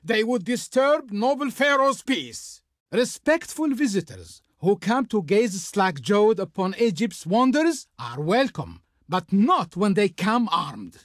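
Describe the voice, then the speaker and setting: A man's voice: high voice, very animated, takes it slowly, no room sound, clean studio sound, heavily acted. A man playing a haughty, flowery envoy of an Egyptian Pharaoh in a video game, speaking in a pompous, declamatory manner. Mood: haughty, dismissive. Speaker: game voice actor playing a diplomat. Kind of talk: theatrical scripted game dialogue.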